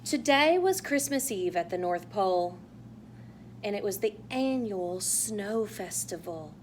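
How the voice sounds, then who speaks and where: A woman reading a picture book aloud to young children in a playful, animated way, asking exaggerated wondering questions. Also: mid-range voice, measured pace, a little hiss or hum. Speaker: children's read-aloud host.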